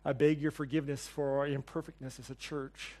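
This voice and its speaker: A man's voice: mid-range voice, flat delivery, a little fast, mellow. A pastor closing a sermon and leading a prayer in church, earnest, building his lines in repeated phrases. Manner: earnest, repetitive praying cadence.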